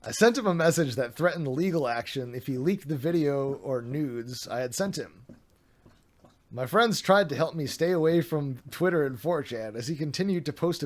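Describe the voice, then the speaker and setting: A man streaming, reading a document aloud with sarcastic, mocking asides, his voice getting a little worn. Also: mid-range voice.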